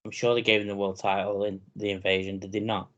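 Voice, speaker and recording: A man in his thirties with a very deep, low-pitched voice talks through wrestling shows with his co-host. His delivery is relaxed and chatty, and he laughs easily. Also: quick pace, lo-fi sound. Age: 30s. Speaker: podcast co-host. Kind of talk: casual wrestling chat.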